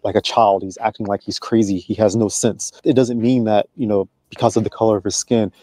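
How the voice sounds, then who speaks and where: A middle-aged man walking and vlogging outdoors in a park, speaking to a handheld camera in a measured, deliberate way. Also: on the low side, steady tone, mellow, dry recording. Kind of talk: vlog commentary.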